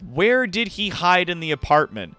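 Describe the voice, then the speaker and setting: A man's voice: high voice, big pitch swings, moderate pace, sharp tone, faint music behind. A man hosting a livestream, giving a dramatic reading of fan fiction with wry, sweary asides to viewers. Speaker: livestream host.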